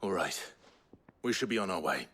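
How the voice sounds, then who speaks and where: A deep, husky, grim warrior. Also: male voice, lively intonation, moderate pace, bright, forceful tone, exaggerated voice. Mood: grim, determined. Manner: terse, plain-spoken, serious. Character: grim, resolute male warrior, terse leader. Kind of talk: dramatic game dialogue.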